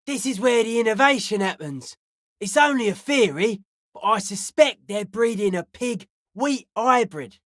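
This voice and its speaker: A woman voicing a suspicious, aloof young hardcore raver in a video game, speaking in deadpan, clipped, cryptic pronouncements. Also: on the high side, measured pace, no echo, clean studio sound. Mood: deadpan, wary. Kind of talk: video game character dialogue.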